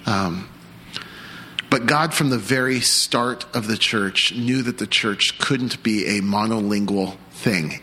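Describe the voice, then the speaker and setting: A young man preaching an earnest church sermon, pausing and restarting as he works through his points. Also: medium pitch, sharp tone, rough recording. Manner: earnest, with frequent restarts and pauses. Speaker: pastor.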